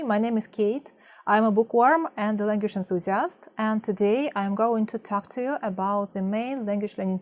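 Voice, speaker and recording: A woman from Russia speaks English with a Russian accent, her voice at a middle pitch. She talks softly and haltingly to camera, pausing often on fillers as she searches for words. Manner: soft-spoken, hesitant, many fillers. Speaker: language-learning vlogger. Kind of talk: partly scripted talk to camera.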